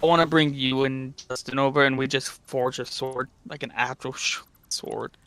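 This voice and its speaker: A man's voice: medium pitch, fairly even intonation, moderate pace, a bit bright, clear, resonant tone, no room sound. A man joining a stream as a friend, teasing and laughing through casual banter despite a sore throat. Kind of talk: casual gaming stream chat.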